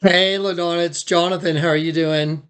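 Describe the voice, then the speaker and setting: A man's voice: a little high, fairly even intonation, moderate pace, fairly bright tone, clear, resonant tone. A man hosting a recorded phone conversation, talking in a casual, informal and somewhat rambling way. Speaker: host recording a phone statement. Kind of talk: casual, conversational documenting talk.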